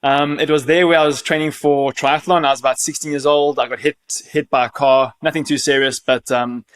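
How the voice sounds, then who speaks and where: A man's voice: on the high side, little pitch movement, brisk, fairly bright tone, clean tone. A South African man in his thirties, a chiropractor answering interview questions in a casual, conversational way. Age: 30s. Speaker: chiropractor, podcast guest.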